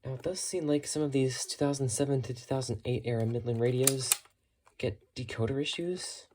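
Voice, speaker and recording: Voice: mid-range voice, fairly quick, background noise. A hobbyist vlogger giving a casual, unscripted show-and-tell in a matter-of-fact way, musing tentatively while talking. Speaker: hobbyist vlogger showing thrift-store radio finds.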